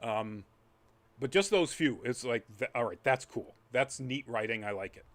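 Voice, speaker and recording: A man's voice: medium pitch, expressive, normal speed. Male podcast host, mid-range voice, conversational reviewer who rambles and drifts into tangents. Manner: rambling, trailing off into asides. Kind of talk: casual chat review.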